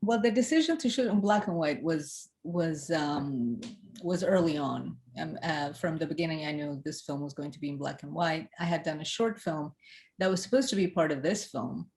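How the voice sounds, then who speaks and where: A low-pitched woman answers questions in a festival Q&A over a video call. She grew up in Ethiopia and speaks in a thoughtful, hesitant way, often pausing on fillers and restarting her sentences. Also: animated, a little mellow, slight background noise. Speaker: film director. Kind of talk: recorded festival Q&A.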